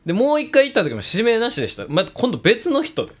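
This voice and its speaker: A man's voice: very high-pitched, wildly swooping pitch, fast. A young man, chatting casually as a show host and telling anecdotes in a hesitant, roundabout way. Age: young adult. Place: small indoor studio room. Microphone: studio microphone, headphones.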